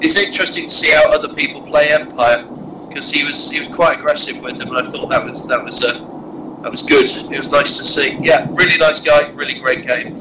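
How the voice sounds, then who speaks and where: A man's voice: fairly high pitch, steady tone, a little fast, background noise. A man with a head cold, sounding nasal, chatting casually and rambling through filler-heavy restarts while he drives. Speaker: podcast co-host recounting a wargaming tournament. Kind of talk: casual chat. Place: in a moving car.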